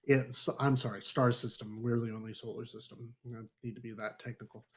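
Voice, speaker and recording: A man's voice: medium pitch, little pitch movement, brisk. A man hosting a casual book club discussion, opinionated and wry with exasperated asides. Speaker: podcast discussion host.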